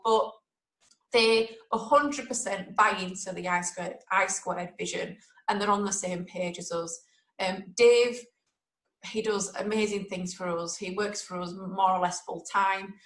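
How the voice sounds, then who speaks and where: A woman's voice: medium pitch, fairly bright tone, reverberant, low-quality recording. A woman with a Yorkshire accent hosting a webinar, talking in a chatty, direct and motivational way. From Yorkshire, England. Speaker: webinar host.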